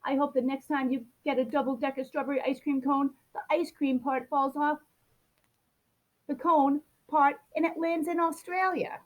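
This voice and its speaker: Woman, high voice, storybook read-aloud, expressive, plays up the child's grumbles. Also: moderate pace, poor audio. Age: adult. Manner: expressive, acts out the child's complaints. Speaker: storybook reader. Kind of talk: reading a picture book aloud to children.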